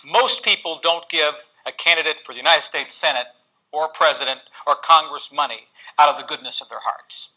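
Husky older man on a panel. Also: a little high, sharp tone, poor audio. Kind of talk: panel discussion. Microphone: lapel mic.

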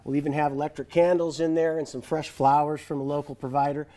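A man's voice: on the high side, fast talker. A man in his thirties, a fishing guide, chatting casually in a TV studio interview, with lots of "uh"s and restarts and frequent chuckles. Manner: relaxed and chatty, many "uh"s and restarts, chuckles often. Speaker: fishing guide and business owner. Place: TV studio set. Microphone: lapel mic.

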